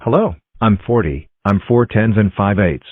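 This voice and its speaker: A man's voice: lowish voice, moderate pace, no room sound, close to an everyday voice. A man voicing cheerful talking number block characters in a fan-made cartoon, introducing himself in a chirpy, plain way. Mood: cheery. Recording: tinny phone-like dry recording.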